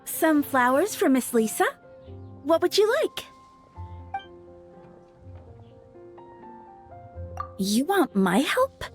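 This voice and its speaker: Young florist girl, high voice, chirpy, polite, earnest, game dialogue, faint music. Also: big pitch swings, measured pace. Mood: helpful, shy. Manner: chirpy, polite, slightly shy. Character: earnest, eager young flower shop assistant girl. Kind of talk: video game character acting.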